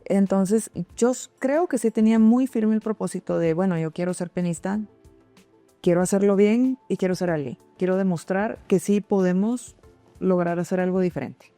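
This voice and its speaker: A woman's voice: mid-range voice, moderate pace, mellow, no echo, soft background music. A woman, speaking casually and reflectively as an interview guest. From Guatemalan, grew up in Texas, United States. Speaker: interview guest, singer-songwriter and doctor.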